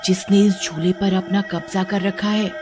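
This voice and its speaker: A woman's voice: medium pitch, steady tone, moderate pace, music in the background, natural voice. A woman voicing a timid, fretful village wife who scolds her husband in a dramatic cartoon manner. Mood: alarmed. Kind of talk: Hindi cartoon character acting.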